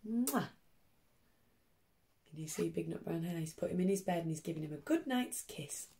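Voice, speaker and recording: A woman's voice: on the low side, normal speed, vocal fry. A woman primary teacher reading a picture book to young children, expressively and with questioning asides to them. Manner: animated, asks tag questions, child-directed.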